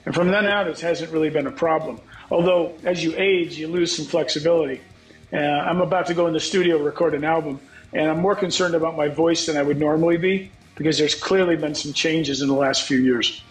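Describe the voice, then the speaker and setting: A middle-aged man with a scratchy voice answers in a frank, reflective way and swears now and then. His voice sits a little high. The call audio sounds echoey, as if he is in a bare room at home. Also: fairly even intonation, brisk, faint music behind. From United States. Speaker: guest songwriter. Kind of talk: candid interview talk.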